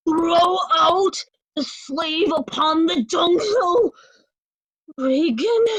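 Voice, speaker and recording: Woman as cruel nobleman, very slow, piercing. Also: very high voice, no room sound, exaggerated voice, low-quality recording. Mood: pained, commanding. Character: cruel, commanding nobleman Cornwall, sadistic interrogator. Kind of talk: Shakespearean readers' theatre.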